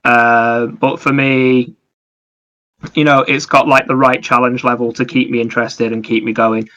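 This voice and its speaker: A man's voice: mid-range voice, little pitch movement, normal speed, sharp tone, lo-fi sound. A man co-hosting a podcast, talking casually about games in a rambling, explanatory way. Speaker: podcast co-host. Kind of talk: casual gaming discussion.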